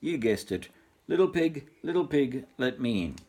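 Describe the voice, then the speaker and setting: An older Australian man voicing a sly, bullying big bad wolf from a storybook, with loud threats and coaxing. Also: medium pitch, very animated, moderate pace. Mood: menacing.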